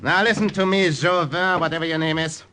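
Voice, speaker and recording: An actor playing a cold, bullying officer and interrogator in an old radio drama, clipped and commanding. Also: male voice, fairly high pitch, steady tone, a little fast, natural voice. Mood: cold, menacing. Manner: clipped, commanding, forceful questioning. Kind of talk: 1940s-50s American radio drama acting. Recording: noisy old-time radio recording.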